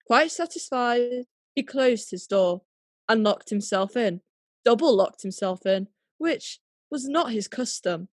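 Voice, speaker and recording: Higher-pitched woman narrator, dry sound. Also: lively intonation, no echo. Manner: measured, dramatic storytelling. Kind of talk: scripted storytelling narration for radio play.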